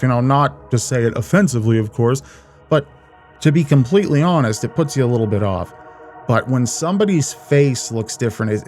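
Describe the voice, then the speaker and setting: Casual man reacting, soft music behind. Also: mid-range voice. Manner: informal, rambling, jokey. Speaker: reaction video host. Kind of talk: casual, profane reaction commentary.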